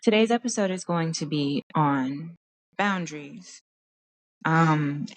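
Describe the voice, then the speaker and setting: A woman hosting a casual solo podcast, her voice hoarse from crying, talking in a tired, meandering way and stopping to search for words. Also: low-pitched, rough recording. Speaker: podcast host. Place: at home.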